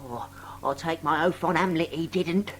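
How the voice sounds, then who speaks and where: An actress playing a dim young male rat in an audio drama, with a husky voice and halting, uneducated speech. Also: sits low. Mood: earnest. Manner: halting, slow-witted, uneducated.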